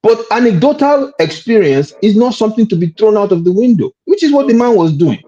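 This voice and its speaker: A man in a heated panel debate, his pitch swinging lively as he pushes his point. He keeps cutting in to claim the floor, and the sound is dull and lo-fi like a call. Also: brisk. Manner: argumentative, keeps interjecting to hold the floor. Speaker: panel guest.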